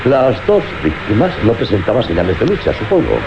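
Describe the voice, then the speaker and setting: An actor playing a brisk, determined big-city police inspector in a radio play, with emphatic, theatrical delivery. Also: male voice, on the low side, huge pitch swings, noisy background. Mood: brisk, businesslike. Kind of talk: dramatic radio play acting. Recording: dull lo-fi old radio recording.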